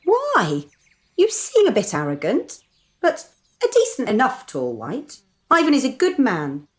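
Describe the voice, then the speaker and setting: A woman's voice: on the high side, huge pitch swings, unhurried. A woman playing an earnest, forthright character who questions directly in a comic radio drama. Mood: indignant concern.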